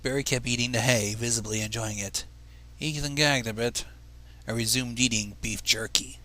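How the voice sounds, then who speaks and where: A man's voice: mid-range voice, fairly even intonation, moderate pace, sharp tone. A man reading a story aloud with casual asides, stumbling over words and going back over them. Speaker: fan fiction reader.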